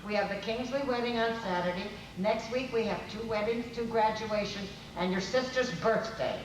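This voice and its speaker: A woman's voice: medium pitch, normal speed, natural voice. An older woman playing a practical, nagging but solicitous housewife in a live old-time radio comedy sketch. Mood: impatient, practical. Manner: solicitous, nagging, repeating herself. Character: fussing, practical housewife coaxing her husband. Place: convention stage before a live audience. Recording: echoey hall, distant mics, noisy.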